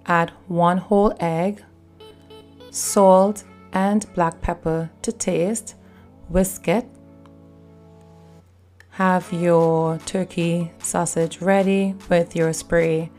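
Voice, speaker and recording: Woman, lowish voice, calm step-by-step recipe narration over faint music. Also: very slow, long pauses. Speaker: cooking channel host.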